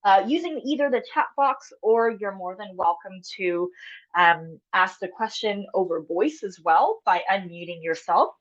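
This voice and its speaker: Woman, fitness instructor, stuffy cold, encouraging, chatty, mid pitch, slightly dull video call audio. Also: lively intonation, a little slow. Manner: friendly, encouraging, chatty with fillers. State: has a cold, sniffly. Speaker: exercise instructor. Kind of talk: live online fitness class instruction. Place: home room, video call.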